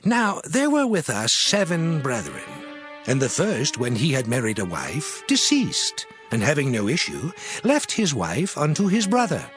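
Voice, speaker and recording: A man playing a formal, challenging religious elder of a sect in a dramatized Bible reading, with deliberate, theatrical, singsong delivery. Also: on the high side, huge pitch swings, soft background music. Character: formal male Sadducee posing a challenging riddle.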